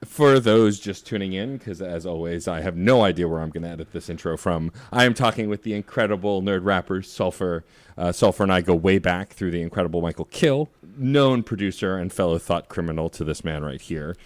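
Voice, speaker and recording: A male podcast host with a hoarse voice from a cold, sitting low in pitch. He chats with eager, gushing enthusiasm. The recording is dry, with no room sound. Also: a little fast, no echo. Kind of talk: casual podcast interview.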